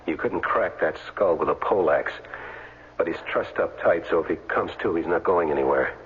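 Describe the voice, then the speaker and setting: An actor playing a tough ex-athlete hero in a radio drama, speaking tersely and vividly in hard-boiled style. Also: male voice, low-pitched, normal speed, breathy edge. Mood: grim, steadying. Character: tough former football player narrating his own fight. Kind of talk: 1970s radio drama acting. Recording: tinny 1970s radio broadcast, loud music behind.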